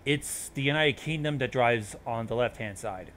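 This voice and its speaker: A man's voice: medium pitch, moderate pace, a bit bright, slight background noise. A man hosting a reaction show, reading on-screen text aloud and adding commentary.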